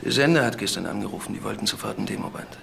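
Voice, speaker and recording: A man's voice: on the low side, racing, a bit bright. Widowed husband, male, defensive, earnest, crime drama, noisy background. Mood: explaining. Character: defensive widowed husband under police questioning. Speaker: actor playing a questioned husband. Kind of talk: German TV crime drama dialogue. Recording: clearly noisy drama soundtrack.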